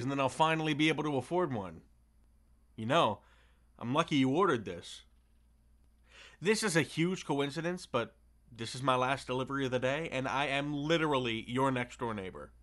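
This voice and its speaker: A man's voice: medium pitch, bright, forceful tone, lightly acted. A man playing a friendly, awkward delivery driver in an audio roleplay, chatty and sheepish, rambling in a casual way.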